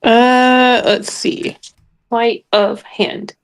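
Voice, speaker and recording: A woman's voice: fairly high pitch, little pitch movement, extremely slow, smooth. A woman chatting casually as a player in a tabletop game. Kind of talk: casual chat.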